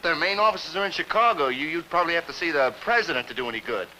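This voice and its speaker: An actor playing a flustered office worker who talks in an exasperated, hesitant way. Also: male voice, on the high side, lively intonation, fast talker, sharp tone, a little hiss or hum, poor audio.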